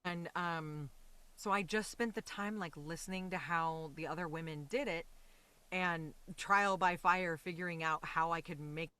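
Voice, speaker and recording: A woman speaking as a voice actor and interview guest, chatting casually in an animated, rambling, reflective way. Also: lowish voice, a little slow, clear, resonant tone.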